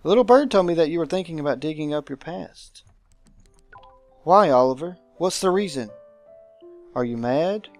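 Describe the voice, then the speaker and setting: A man voicing a visual novel's aristocratic guardian, pompous, dramatic and deliberate, with menacing affection. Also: on the high side, animated, dry recording, faint music behind. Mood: menacing concern.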